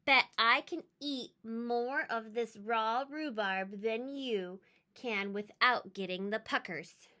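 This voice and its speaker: Woman acting a feisty, high-pitched little sister. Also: takes it slowly, pushed and bright. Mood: challenging. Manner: defiant, emphatic, competitive. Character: feisty, indignant little sister, a young girl. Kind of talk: read-aloud storybook character voice.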